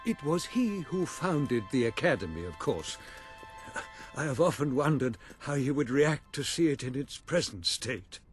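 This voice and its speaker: Lively, bookish scholar. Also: male voice, mid-range voice, measured pace, faint music behind. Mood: awed, reflective. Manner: earnest, fussy, formal. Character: bookish, excitable, courteous male scholar. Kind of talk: dramatic video game cutscene acting.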